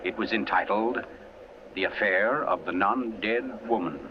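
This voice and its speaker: A man acting as the measured, dramatic narrator of a 1940s radio suspense drama. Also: lowish voice, background noise, lightly acted. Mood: brooding. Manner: dramatic, measured, deliberate storytelling. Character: brooding male narrator of a suspense mystery. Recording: dull vintage radio, music underneath.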